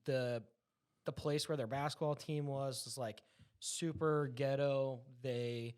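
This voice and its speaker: A man's voice: mid-range voice, fairly even intonation, slow pace, darkish tone, clean tone. A man talking casually among a group, with loose, hesitant phrasing. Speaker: discussion panelist.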